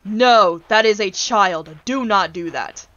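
A woman's voice: medium pitch, slow, fairly bright tone, muffled recording. A woman in her twenties ranting casually on a gaming stream, blunt, exasperated and sweary as she tells stories. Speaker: streamer, VTuber host.

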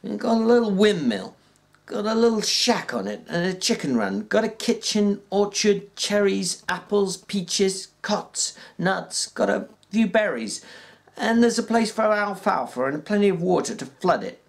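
A reader voicing a plainspoken ranch worker with a folksy rural drawl, pitched well above the narration and stumbling now and then. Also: animated, unhurried, gravelly. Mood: wistful. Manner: raised pitch, stumbles and fillers. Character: plainspoken ranch worker man dreaming aloud. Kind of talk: audiobook reading.

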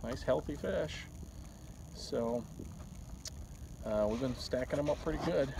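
A middle-aged man hosts a fishing show outdoors on a river. He speaks in an easygoing, instructional way, filling pauses with "uh" as he walks through each step. His voice sits at a middle pitch, half buried under loud outdoor noise from the boat and the water. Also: vocal fry. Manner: easygoing, explains step by step with many "uh" fillers. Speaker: fishing guide and show host. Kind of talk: casual instructional fishing commentary. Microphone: camera mic on the boat.

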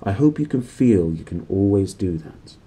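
A man reading a storybook, voicing a plump elderly clergyman who is kindly, genteel and gently probing. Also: low voice, lively intonation, moderate pace, slightly rough. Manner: genteel, paternal, questioning.

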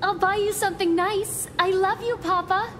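A woman's voice: extremely high pitch, steady tone, moderate pace. An actress playing a sweet little girl, a loving daughter, in a video game cutscene. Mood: affectionate. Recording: clear background noise.

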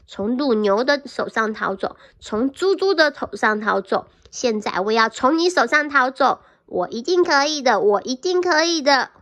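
A woman's voice: fairly high pitch, sharp tone, heavily acted. A woman voicing a boastful little gingerbread man in a children's storybook, chanting taunts in a sing-song way.

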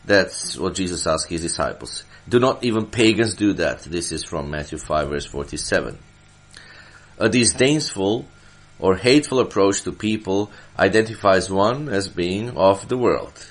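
A man from Serbia, a church elder, delivering Bible teaching from prepared notes in a deliberate way, stressing his phrases. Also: deep voice, animated.

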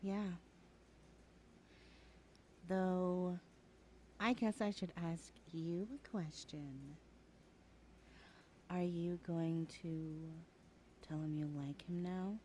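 A woman's voice: on the low side, fairly even intonation, extremely slow, long pauses, darkish tone, a little hiss or hum. A woman playing a chatty, teasing young adult best friend in a casual, hesitant ASMR roleplay. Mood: coaxing, teasing. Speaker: voice actor in audio roleplay. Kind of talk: ASMR roleplay, chatty one-sided conversation.

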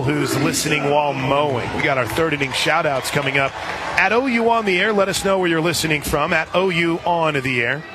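Higher-pitched male radio play-by-play announcer, crowd behind. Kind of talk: live softball commentary. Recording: crowd murmur behind broadcast voice. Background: outdoor stadium crowd.

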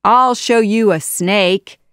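An evil male sorcerer from a storybook, acted by a woman narrator. He is imperious and menacing, pushing his words out loudly and with force. The sound is dry and close. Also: mid-range voice, slow, clean tone, no echo, close to an everyday voice. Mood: menacing, angry. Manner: shouting, imperious.

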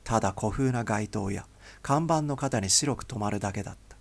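A man's voice: fairly low pitch, moderate pace, gravelly. A man reading a novel aloud as a scripted, dramatic audiobook narration.

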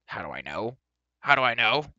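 A man's voice: on the low side, expressive, fairly quick, no echo, dull audio, full character voice. A man voicing an irascible, impatient dwarf in a fantasy storybook, given to indignant, snappy outbursts. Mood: snappish. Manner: snappy, muttering and shouting outbursts.

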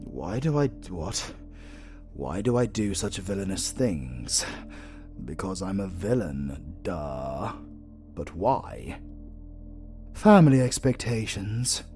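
A man's voice: deep voice, big pitch swings, darkish tone, faint music behind, full character voice. A British man playing an arrogant, flirtatious supervillain in a romantic comedy audio roleplay, theatrical and easily exasperated. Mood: weary, wry. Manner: theatrical, swinging from slow menace to exasperated outbursts. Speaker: voice actor.

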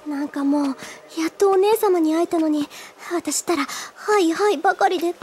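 A woman's voice: extremely high pitch, moderate pace, darkish tone. A woman playing a shy, earnest, easily flustered teenage schoolgirl in an anime audio drama. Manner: flustered, hesitant, girlish.

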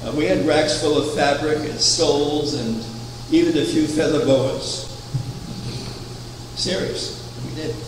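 Old guy preaching, measured storytelling, long reverb, steady hum behind. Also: a little high. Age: older. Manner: measured, anecdotal, with rhetorical questions. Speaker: preacher, founder of a religious order. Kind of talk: sermon. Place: chapel, at a wooden pulpit. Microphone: far camera. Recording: echoey chapel from far off, air conditioning hum.

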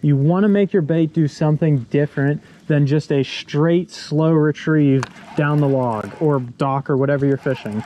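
A man's voice: a little high, warm tone, a little hiss or hum. A young man hosting a fishing vlog, explaining lure technique step by step in an easygoing way, recorded outdoors on a boat. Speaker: fishing channel host.

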